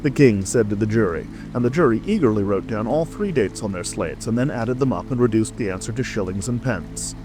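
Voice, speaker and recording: Man, lowish voice, animated intonation, scripted storybook narrator, dramatic audiobook, music behind. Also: fairly quick, few pauses.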